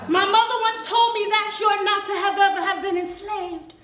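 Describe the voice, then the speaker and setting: Bright, high-pitched American woman acting a proud freedom fighter. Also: steady tone. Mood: earnest, reflective. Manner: storytelling with dramatic pauses and repeated phrases. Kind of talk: theatrical solo monologue with sung phrases and audience banter. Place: indoor theatre stage before a live audience. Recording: roomy stage, distant microphone.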